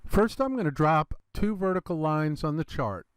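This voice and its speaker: A man with a slightly congested, gravelly voice, explaining a trading chart in a relaxed lesson and calling his viewers "you guys". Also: fairly high pitch, moderate pace, no echo. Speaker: trading educator. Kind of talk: screen-recorded trading lesson.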